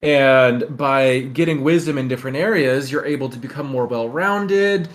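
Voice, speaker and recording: A man's voice: medium pitch, moderate pace. A man chatting casually as a podcast host, in an agreeable, supportive manner.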